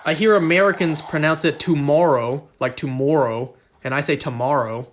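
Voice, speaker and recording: A man's voice: a little high, steady tone, moderate pace, faint music behind. A man chatting casually on a game live stream, rambling and joking at his own expense. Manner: rambling, self-deprecating, joking.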